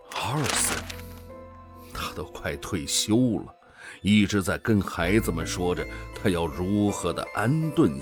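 Man acting a slow, deep, weary commander. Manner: brooding, deliberate, sighing soliloquy. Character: weary, guilt-ridden older male commander, fond of his old dog. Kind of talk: radio drama acting.

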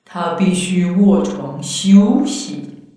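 Woman as slow, echoing royal doctor. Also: on the low side. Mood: authoritative. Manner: slow, pronouncing verdicts. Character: measured, authoritative royal doctor. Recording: very echoey, distant, loud music.

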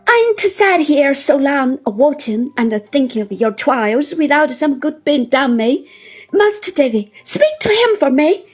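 A woman's voice: very animated, very slow, few pauses, darkish tone, faint music behind, full character voice. A woman doing a sobbing, clinging old woman. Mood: tearful pleading. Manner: high wailing pleas, breaking into sobs. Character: clinging, tearful older woman pleading desperately.

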